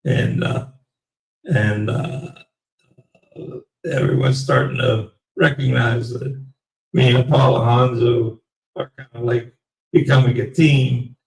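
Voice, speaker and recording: A man closing out his live stream in a rambling, halting way, his voice going raspy. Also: medium pitch, fairly even intonation, a little mellow, echoey room, recorded from a distance, low-quality recording. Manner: rambling, slow and halting with many fillers. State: voice getting raspy. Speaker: livestream host. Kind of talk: casual live stream sign-off.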